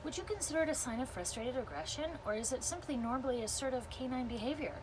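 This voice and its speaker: A woman's voice: high-pitched, lively intonation, fast, background noise, pitched above the performer's own voice. A woman voicing a pushy, talkative female dog trainer who is eager to impress, wordy and insistent, in a storybook. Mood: gushing.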